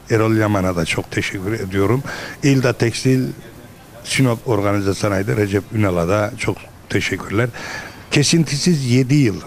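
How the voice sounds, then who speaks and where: A middle-aged man presenting a local television program as host, speaking into a handheld microphone. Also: fairly low pitch. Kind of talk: presenting interview with rhyming sponsor thanks. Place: busy restaurant dining room.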